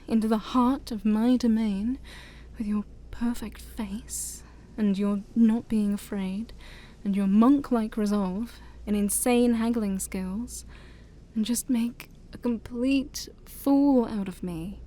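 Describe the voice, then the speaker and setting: Soft, slow-talking demon princess, acted by a woman. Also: a little high, steady tone. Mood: flustered, indignant. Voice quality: soft, breathy, often near whisper. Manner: theatrical, formal wording with awkward hesitations. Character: shy, haughty ancient demon princess, easily flustered. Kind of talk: soft-spoken ASMR roleplay.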